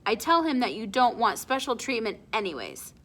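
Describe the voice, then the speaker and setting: A woman's voice: on the high side, sing-song, moderate pace, fairly bright tone. A woman voicing a proud, stern, hard-working father with emphatic, declarative phrasing in a storybook. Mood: firm, lecturing.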